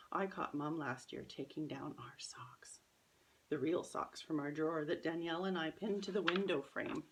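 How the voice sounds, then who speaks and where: Female author-narrator for children's fiction: deep for a woman, gravelly creak, first-person storybook reading. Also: a little hiss or hum, poor audio.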